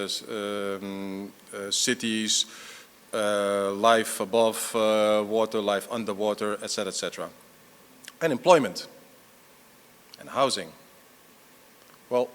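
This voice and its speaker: A man's voice: fairly low pitch, fairly bright tone, big-room sound. A middle-aged man, half Dutch and half Portuguese, answering an audience question at a conference, rambling with many fillers and restarts. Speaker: conference speaker.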